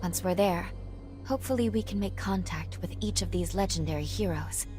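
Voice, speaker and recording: An actress voicing a gentle, earnest young woman in a video game, speaking softly. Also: lowish voice, normal speed, natural voice. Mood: earnest, resolved. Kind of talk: video game cutscene acting. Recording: clear music bed underneath.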